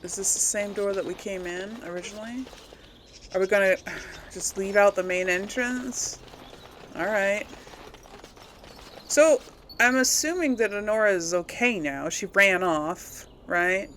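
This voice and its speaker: A woman's voice: mid-range voice, measured pace, long pauses, fairly bright tone, a little hiss or hum. A woman giving casual commentary on a game she is playing, thinking aloud and often questioning herself. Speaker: gamer hosting a role-play playthrough.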